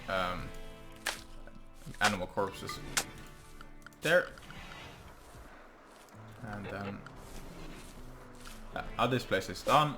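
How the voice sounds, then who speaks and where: A young man with a Norwegian accent, English not his first language, casually talking through his gameplay and thinking aloud with frequent ums and pauses. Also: fairly low pitch, fairly bright tone. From Norway. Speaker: gaming channel host. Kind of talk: let's play commentary.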